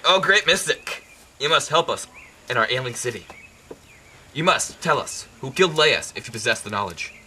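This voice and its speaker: A man's voice: mid-range voice, expressive, normal speed, pushed and bright, a little hiss or hum. A man playing a proud, suspicious, commanding king in an amateur Greek tragedy, speaking in formal declamation.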